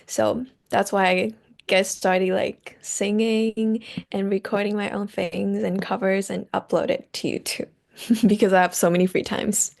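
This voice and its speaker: A young woman from Taiwan who speaks natively, answering interview questions in simple, halting English. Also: mid-range voice, level tone, gravelly, a little mellow. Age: young adult. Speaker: guest musician.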